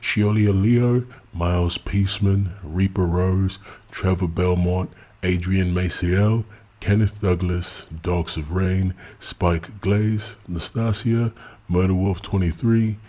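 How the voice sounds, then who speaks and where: A man's voice: sits low, fairly even intonation, takes it slowly, dark tone. A man from England, hosting a casual subscriber Q&A and giving candid, blunt answers with frequent laughs. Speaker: YouTube channel host.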